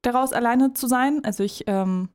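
A woman in her thirties co-hosting a podcast in casual chat. She laughs often and fills pauses with "ähm". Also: fairly high pitch, darkish tone, smooth. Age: 30s. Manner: chatty, laughs often, trails into fillers. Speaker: podcast co-host.

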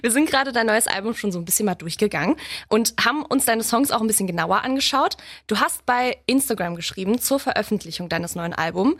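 A woman's voice: mid-range voice, lively intonation, fast, fairly bright tone. A woman radio host casually interviewing a musician, chatty and using youthful slang.

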